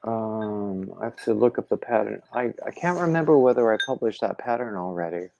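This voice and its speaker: Male stream host, unhurried and rambling, gravelly lower voice, a bit congested, dull home-mic sound. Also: noisy background. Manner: rambling, relaxed, reads viewer comments aloud. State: slightly congested throat. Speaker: livestream host. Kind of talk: casual chat with live viewers.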